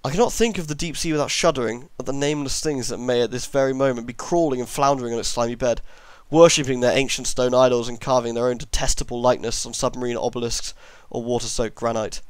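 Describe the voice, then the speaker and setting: A man's voice: mid-range voice, fairly even intonation, quick pace, hardly any pauses, smooth, dry recording. A man narrating a horror story as an amateur reader, now and then stumbling over long words and correcting himself.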